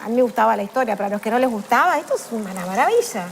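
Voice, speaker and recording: A woman's voice: a little high, very animated, rapid-fire, creaky, a little hiss or hum. Uruguayan woman chatting about books. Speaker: co-host of a book program. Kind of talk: casual chat.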